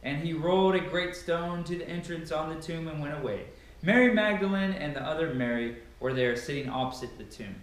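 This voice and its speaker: Very roomy echo, young man, higher-pitched voice, dramatic scripture reading, emphatic delivery, camera at a distance. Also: a little fast. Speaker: reader of scripture. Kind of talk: dramatic Bible reading aloud. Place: large, sparsely furnished living room indoors. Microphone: camera a few meters away. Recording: very echoey room, camera at a distance.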